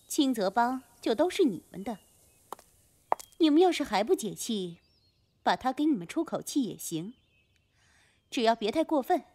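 一名女演员在广播剧中饰演冷酷、有心计、语带嘲讽的帮派女叛徒。. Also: female voice, fairly high pitch. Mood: calculating. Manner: taunting, coolly condescending. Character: cold, scheming female gang insider turned betrayer. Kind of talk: Chinese radio drama acting.

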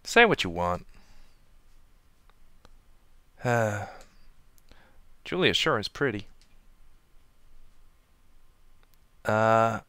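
Man doing goofy young game soldier, flustered, stammering, wildly swooping, bright tone. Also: sing-song, takes it slowly, clear, resonant tone, no echo, full character voice. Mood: dreamy. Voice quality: flustered, stammering, jumps in pitch. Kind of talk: fan dub of game cutscenes.

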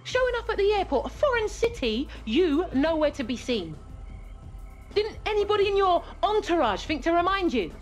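A woman's voice: extremely high pitch, sing-song, moderate pace, soft background music. A middle-aged actress playing an exasperated, stern mother who scolds reproachfully in a video game drama. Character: exasperated, stern mother of a young football star. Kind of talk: naturalistic video game cutscene acting.

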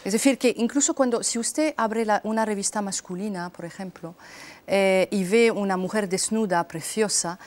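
A woman's voice: mid-range voice, normal speed, darkish tone. A woman in her thirties from France with a French accent, a TV interview guest speaking frankly with hesitations, on a lapel mic in a studio. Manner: frank, lightly amused, with many hesitations.

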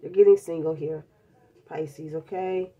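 A woman's voice: sits low, steady tone, a little slow, lo-fi sound. A woman with a stuffed-up cold, chatting bluntly and casually through a tarot reading, calling her viewers babies. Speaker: tarot reader. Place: indoors at a table covered in tarot decks.

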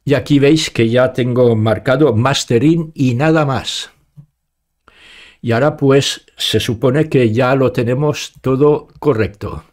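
A man in his sixties with an accent from Spain, giving an informal step-by-step software tutorial and talking each step through aloud into a close studio mic. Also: medium pitch, unhurried. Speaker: tutorial presenter. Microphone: studio microphone up close, with headphones.

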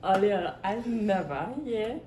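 A woman's voice: medium pitch, expressive, slow, mellow. Hesitant young woman in an echoey room. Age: young adult. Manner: hesitant, many fillers, giggly. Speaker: vlog co-host. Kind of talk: casual chat. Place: small indoor room with dark backdrop. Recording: roomy echo, faint noise.

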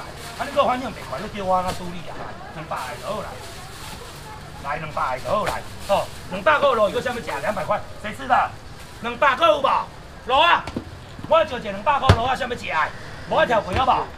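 Loud, fast Taiwanese market auctioneer. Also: male voice, high voice. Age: middle-aged. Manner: loud, teasing, rapid-fire price calling. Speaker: seafood auctioneer. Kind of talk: rapid street auction patter. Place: outdoor market stall under an awning. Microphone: far camera. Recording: distant voice with loud street noise.

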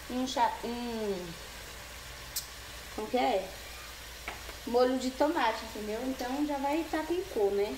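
A young Brazilian woman chatting casually to viewers as she cooks in a small home kitchen, often checking in with a "né, gente?". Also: a little high. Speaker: cooking vlogger. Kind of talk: casual cooking chat to camera. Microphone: camera on the counter, partly hand-held over the stove. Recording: echoey tiled kitchen, faint background noise.

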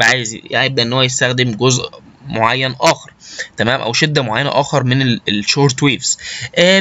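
An Egyptian man gives an informal technical lecture in a bright, forceful voice. He talks quickly but haltingly, repeating words and dropping in English terms. The recording is dull and lo-fi. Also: a little high. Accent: Egyptian Arabic. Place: voice-over recorded over slides.

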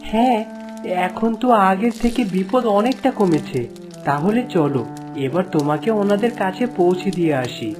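A woman's voice: mid-range voice, lively intonation, moderate pace, natural voice. A woman voicing a kindly, brave fisher companion in a cartoon fairy tale, caring and reassuring. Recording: music behind the voice.

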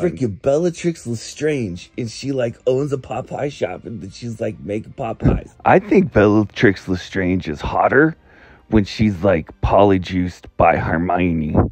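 A man's voice: fairly low pitch, darkish tone, breathy edge, no echo. A man rambling casually in a slacker manner, drawing out his words as if leaving a comic phone message. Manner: laid-back slacker, drawn-out words, frequent "like", chuckling. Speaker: comic voicemail caller.

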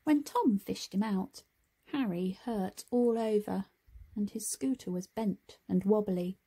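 Breathy, unhurried woman reading a storybook. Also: mid-range voice. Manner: expressive, deliberate phrasing for children. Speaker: storybook reader. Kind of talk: scripted children's story narration.

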